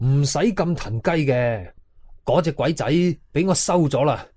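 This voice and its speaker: A man's voice: fairly high pitch, expressive, a little slow, mellow. A man voicing a stern, commanding Taoist ghost hunter in a horror story, curt and authoritative, with a Hong Kong Cantonese accent. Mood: instructing.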